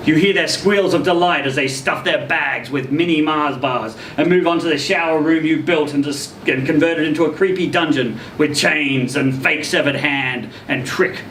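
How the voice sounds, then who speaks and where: A man reading a short story aloud in a theatrical, expressive way at a live event. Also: a little high, moderate pace, few pauses. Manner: theatrical, expressive delivery with acted quotes. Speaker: reader at a live literary event. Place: indoor social venue, live event. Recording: roomy, distant event recording with noisy hum.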